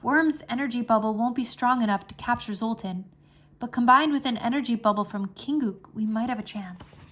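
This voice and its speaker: A woman's voice: fairly high pitch, brisk, a bit bright. A woman voicing an earnest young boy hero, a dragon master in a children's fantasy storybook, speaking with urgency. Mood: worried.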